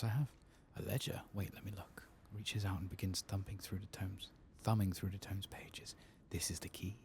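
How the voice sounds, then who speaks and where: A man speaking softly and often whispering in ASMR style as he comments on a game he plays, close to the microphone. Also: sits low, vocal fry, slight background noise. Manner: hushed, reads quest text aloud slowly. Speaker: ASMR gaming streamer narrating a playthrough.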